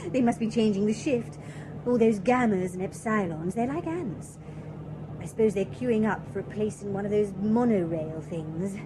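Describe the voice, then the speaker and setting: A woman's voice: mid-range voice, normal speed, noisy background, close to an everyday voice. An actress playing a shallow, cheerful young woman in a radio drama, talking in a breezy, chattering way. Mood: chatty. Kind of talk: BBC radio drama acting.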